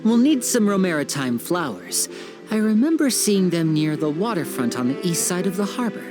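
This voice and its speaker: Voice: mid-range voice, very animated, normal speed, music in the background, close to an everyday voice. A voice actor playing a polite, showy young stage magician in a game cutscene dub. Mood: gentle. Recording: game score underneath.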